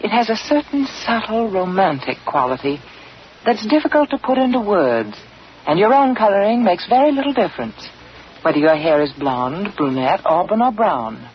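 A woman delivers a soft, persuasive 1940s radio commercial. Her pitch rises and falls freely, and the old broadcast sound is dull with faint hiss. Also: very animated, normal speed. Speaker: advertiser spokeswoman.

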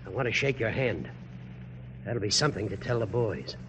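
An actor playing a humble, eager rank-and-file soldier in a radio drama, earnest and plain-spoken. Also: male voice, on the low side, expressive, normal speed, a bit bright. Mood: shyly eager. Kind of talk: theatrical old radio drama. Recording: hissy old radio broadcast.

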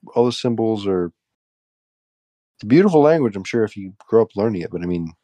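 A man's voice: on the low side, lively intonation, a little fast, warm tone, no room sound. A young man co-hosting a podcast, chatting casually with dry, offhand, blunt opinions. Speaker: podcast co-host. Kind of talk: casual chat.

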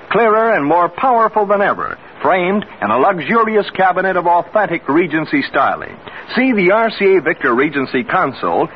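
Male 1950s American radio pitchman, high animated voice, scripted sell, muffled vintage sound. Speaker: radio announcer. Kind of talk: 1950s radio advertisement read. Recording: hissy, dull old radio recording.

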